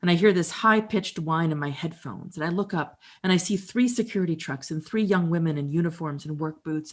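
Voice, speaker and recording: A woman with a somewhat low voice gives a dramatic reading. She tells her story in an unhurried, reflective way, and the pacing is measured for performance. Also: a bit bright. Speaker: storyteller and musician. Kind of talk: dramatic reading of documentary theater.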